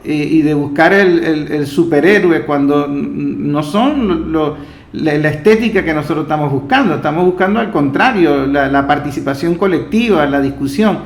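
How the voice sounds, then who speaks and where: A man's voice: fairly high pitch, normal speed. A Venezuelan man hosting a conversational interview and sharing his own opinions as he talks. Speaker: interviewer, host. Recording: echoey, roomy sound.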